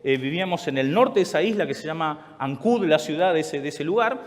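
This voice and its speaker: A man's voice: a little high, lively intonation, a little fast. A man who grew up in Chile and Argentina, preaching a sermon to a church congregation in a conversational way and often turning to the listeners with questions. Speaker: pastor. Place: large church hall. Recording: long hall reverb.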